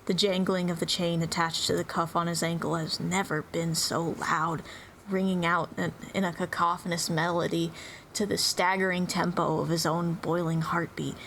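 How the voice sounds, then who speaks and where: A woman's voice: lowish voice, flat delivery, moderate pace, a bit bright. A woman reading scripted narration dramatically, with intense, theatrical phrasing. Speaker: player reading own turn in a dramatic roleplay reading. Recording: clearly noisy background.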